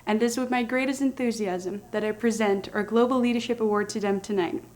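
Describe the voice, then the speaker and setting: A woman's voice: fairly high pitch, steady tone, brisk, darkish tone. A woman giving a formal scripted presentation as the presenter of an award.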